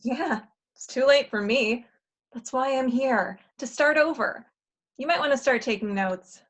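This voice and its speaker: A woman's voice: sits high, a little slow. A woman playing a jaded, insistent time traveler in a stage comedy, urgent and emphatic with a wry edge. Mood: jaded, pleading. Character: insistent, jaded older self from the future. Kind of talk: stage comedy acting in a table read.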